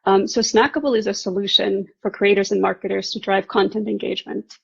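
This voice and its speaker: Female panelist from Estonia, founder type, very creaky voice with narrow pitch movement, presenting professionally over slightly dull audio. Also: little pitch movement, fairly quick, a bit bright. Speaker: startup founder and CEO, panelist. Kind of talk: professional self-introduction on a panel.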